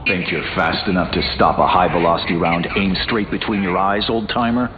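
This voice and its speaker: Game antihero, male actor as cocky gunman: low, tough, taunting, slightly muffled. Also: steady tone, a little fast, lightly acted.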